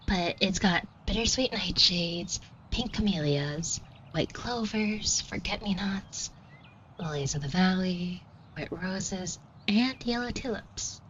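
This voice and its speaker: A woman's voice: fairly low pitch, a bit bright. A woman playing a shy, flustered florist who talks to the listener in a hesitant, colloquial, self-deprecating way in an audio roleplay. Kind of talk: scripted roleplay acting addressed to the listener. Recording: dull muffled audio with clear noise. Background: shop ambience sound effects.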